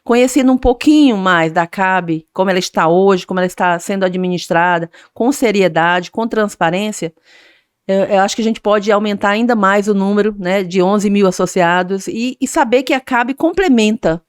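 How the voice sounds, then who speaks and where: Woman, middle-aged, interview guest, determined, smooth and resonant. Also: mid-range voice, normal speed, no room sound, studio quality. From Maranhão, Brazil. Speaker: guest, association president. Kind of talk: interview answers.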